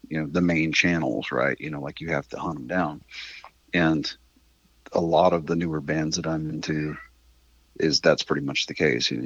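A middle-aged man with a husky voice, chatting candidly and casually as an interview guest, rambling a little and swearing mildly. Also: a bass voice, dry recording, lo-fi sound. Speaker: interview guest, independent musician.

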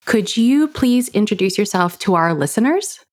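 A woman's voice: mid-range voice, animated, moderate pace. A woman hosting a podcast, asking guests rambling, friendly questions. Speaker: podcast host. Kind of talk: casual interview chat.

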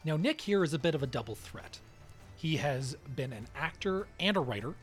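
Animated male podcast host. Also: fairly high pitch, normal speed, faint music behind. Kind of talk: scripted podcast narration.

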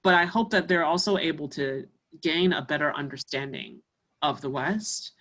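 A woman's voice: deep voice, big pitch swings, normal speed, a bit bright. A woman chatting casually as a guest in an interview, talking freely with many fillers and restarts. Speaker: musician guest. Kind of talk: casual conversation.

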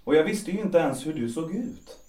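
An actor playing a proud, restless young composer and lover in a period audio drama, with a theatrical, moody, romantic delivery. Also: male voice, on the high side, animated, mellow, lightly acted. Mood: candid, casual. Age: young adult. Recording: roomy, distant from the mic.

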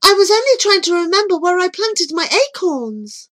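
A woman's voice: very high-pitched, sing-song, moderate pace, pushed and bright, voice pushed up, heavily acted. A woman doing an anxious young princess. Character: earnest young princess girl, anxious, devoted.

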